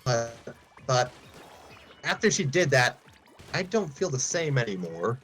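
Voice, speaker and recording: A man's voice: mid-range voice, sing-song, unhurried, fairly bright tone, soft background music, pitched above the performer's own voice. A man voicing an earnest, awkward young male trainer who stumbles over his words. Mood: flustered. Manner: flustered, stumbling restarts.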